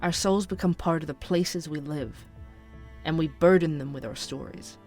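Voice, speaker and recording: A man's voice: a little high, normal speed, a little mellow, close to an everyday voice. Man acting as earnest young podcast narrator over music. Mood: brooding, poetic. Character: earnest curious young man, night-shift barista and amateur podcaster. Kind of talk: scripted audio drama narration.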